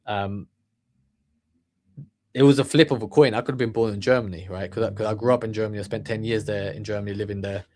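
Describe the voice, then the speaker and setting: A man's voice: fairly low pitch, fairly even intonation, quick pace, darkish tone. Rambling man giving a motivational talk. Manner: rambling, many restarts and fillers. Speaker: channel host. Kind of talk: unscripted motivational monologue.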